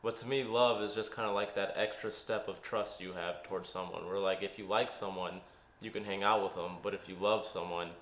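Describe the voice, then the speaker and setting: A man's voice: low-pitched, steady tone, a little fast, a bit bright, reverberant, a little hiss or hum. A man in his twenties giving casual, hesitant interview answers, with lots of "like" and "kinda". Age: 20s.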